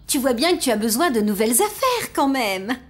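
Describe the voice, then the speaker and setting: A woman voicing a loving, exasperated mother in an animated cartoon, coaxing and gently scolding. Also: a little high, fairly quick, noisy background. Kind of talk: French animated cartoon dialogue.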